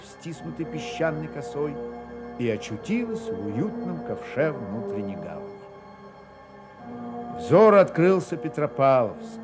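Man, literary radio narration, slightly high pitch, highly varied intonation, theatrical. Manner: expressive, theatrical storytelling.

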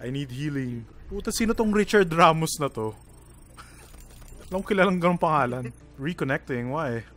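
Loud excited bright-voiced gamer man. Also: a little high, moderate pace. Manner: loud, excited, swearing play-by-play. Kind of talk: casual gaming chat.

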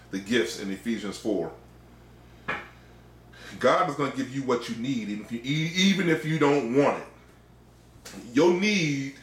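A man's voice: mid-range voice, fairly bright tone. American male preacher, middle-aged, informal exhorting sermon delivery, mid-range voice, recorded in an echoey small room. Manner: exhorting, emphatic repetition. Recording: echoey, roomy sound.

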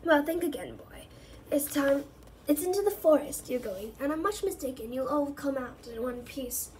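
A woman's voice: high voice, animated, moderate pace, darkish tone, recorded from a distance, slight background noise, rough recording. Woman reading as a spiteful, leering male caretaker. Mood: cackling glee. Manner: cackling, coldly menacing, nasty. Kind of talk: storybook character reading.

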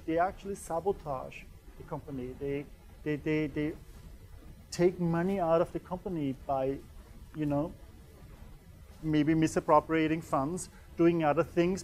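Austrian guy lecturing, german accent, kinda high voice, keeps saying yeah?. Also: darkish tone. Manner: conversational, keeps asking "yeah?"; pacing and gesturing while talking. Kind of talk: conference lecture. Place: small lecture room indoors.

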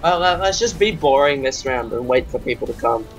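A man's voice: mid-range voice, animated, brisk. A young man with a cold, casually commentating a game he is playing, joking and trash-talking as he goes. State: has a cold. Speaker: gaming channel host. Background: game music.

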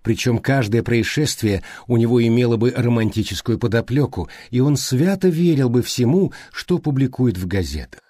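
A man voicing a thoughtful, courteous, exacting male detective in an audiobook, speaking politely and deliberately. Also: mid-range voice, lively intonation, warm tone, dry recording, studio quality, close to an everyday voice. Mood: wistful. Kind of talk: audiobook character reading.

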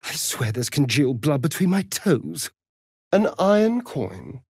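An actor playing a vain, sardonic vampire rogue in a fantasy video game, with an arch, drawling, theatrical delivery. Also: male voice, a little high, big pitch swings, a little slow, gravelly, a little mellow, slightly rough, no room sound, clean studio sound, heavily acted.

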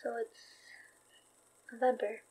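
An actress in a horror audio drama playing a thoughtful, wry young woman investigator recording a diary, reflective, halting and dryly understated. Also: warm tone, natural voice, poor audio.

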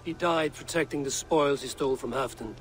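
Viking game protagonist male actor: thirties, steady, blunt, understated, slightly raised pitch. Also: soft background music, close to an everyday voice. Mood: dry, blunt. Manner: measured, blunt. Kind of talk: understated video game acting.

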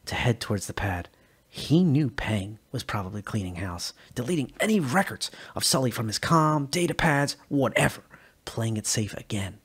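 A man reading a sci-fi short story aloud as narrator, expressive with small slips. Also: mid-range voice, breathy edge. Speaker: reader.

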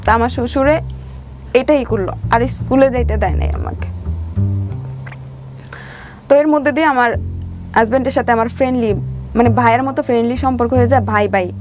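A woman's voice: a little high, fairly quick, pauses often, darkish tone, faint music behind. A woman with a Bangladeshi Bengali accent telling her life story as an interview guest, colloquially, voicing the dialogue she recalls. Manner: colloquial, acts out reported dialogue.

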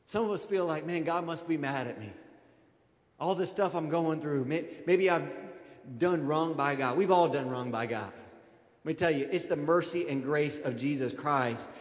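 A man in his thirties preaching a conversational sermon as a pastor, informal and animated, addressing his congregation directly into a handheld microphone. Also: fairly high pitch, moderate pace. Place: large auditorium stage, indoors. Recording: echoey hall with long reverb.

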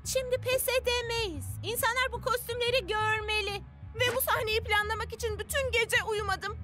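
Çizgi filmde ciddi, kitap kurdu, temkinli bir genç kızı canlandıran kadın oyuncu, özenli konuşuyor. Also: female voice, extremely high pitch, fairly even intonation, moderate pace, over loud music. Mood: insistent. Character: earnest, bookish, cautious teenage girl. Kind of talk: animated cartoon dub acting.

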